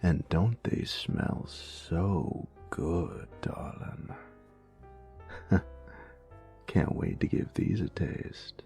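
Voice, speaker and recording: An extremely deep-voiced, flirtatious male lover, acted by a man speaks in an intimate ASMR roleplay. His delivery is slow and teasing, with a heavy gravelly fry and a folksy, doting manner. It turns breathy and whispery in places. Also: lively intonation, dark tone, soft background music, exaggerated voice. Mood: playful, upbeat.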